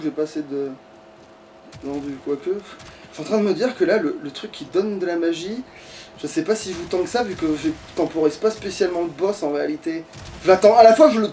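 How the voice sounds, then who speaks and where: A man's voice: a little high, darkish tone. A man giving casual let's play commentary, thinking aloud in a grumbling, foul-mouthed way. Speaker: gaming streamer. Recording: distant mic with background noise.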